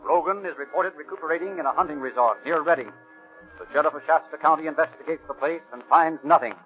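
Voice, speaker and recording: Rapid-fire 1930s American radio narrator, a man. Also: a little high, lightly acted. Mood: urgent, dramatic. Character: urgent police-drama narrator. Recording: tinny 1930s radio recording with music.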